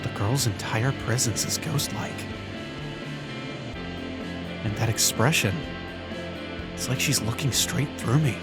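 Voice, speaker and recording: A man playing a self-conscious high school senior, narrating a visual novel fan dub in the first person with a wry, introspective manner. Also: slow pace, long pauses, over loud music, close to an everyday voice. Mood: haunted, tense.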